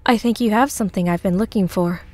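A woman's voice: mid-range voice, fast, noisy background, natural voice. A woman playing an uncanny, falsely loving female impostor in a comic dub, with a controlled, eerie delivery. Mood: false sweetness.

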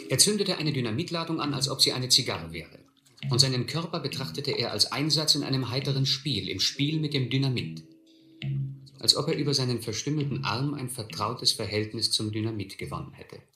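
An actor playing a reflective man recalling his runaway youth, narrating a radio play in measured, literary sentences. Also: medium pitch, animated, sharp tone, reverberant, soft background music. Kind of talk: first-person scripted narration in German radio play.

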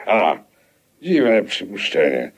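An actor playing a sly policeman who poses as a shady professor, gravelly and husky, in a theatrical, grotesque radio play manner. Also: low-pitched, wildly swooping pitch, slow, exaggerated voice. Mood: sly, measured.

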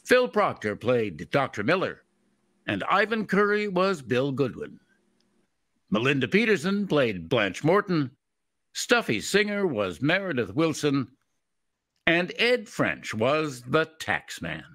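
A man's voice: a little high, very animated, slow, fairly bright tone, no room sound, clean studio sound. A man announcing in a scripted old-time radio style.

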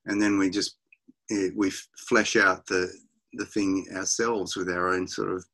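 Aussie muso guy, really low voice, lots of ums and restarts, video call from home. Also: level tone, fairly bright tone. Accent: Australian. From Australia. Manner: halting, many restarts and fillers, laughs often. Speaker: musician guest. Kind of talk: casual interview over video call. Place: home music room, indoors.

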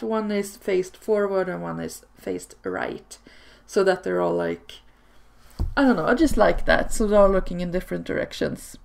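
A woman whose first language is Swedish gives relaxed, chatty commentary over gameplay footage. Her voice carries a strong vocal fry, and her pitch sits in a middle range. She fills her sentences with ums and little laughs. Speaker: video creator. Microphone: home desk microphone.

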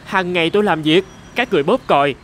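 A man playing a gloomy, self-pitying traffic light that longs for freedom, complaining plaintively in an animated fairy tale. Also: high voice, quick pace. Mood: fed up. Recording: music under the voice.

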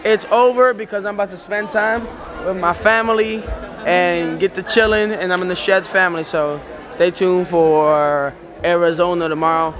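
A man's voice: sits high, steady tone. A young man in his twenties from Colombia, chatting playfully to a handheld camera as a vlogger after a concert. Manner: upbeat, playful banter, quick introductions. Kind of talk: casual vlog chat. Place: church venue after a concert, crowd around. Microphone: camera held at arm's length. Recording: loud crowd and music behind.

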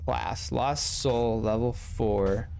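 A man's voice: mid-range voice, drawn-out words, vocal fry, a little mellow, smooth. A young man giving casual, slangy, rambling and self-deprecating commentary while he plays a video game. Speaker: gaming video host. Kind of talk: casual let's-play commentary.

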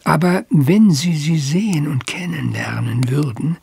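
An actor playing an earnest, proud and worried English country squire in an audio drama, husky and breathy. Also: male voice, fairly high pitch, measured pace, darkish tone, no room sound, full character voice. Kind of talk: German audio drama acting.

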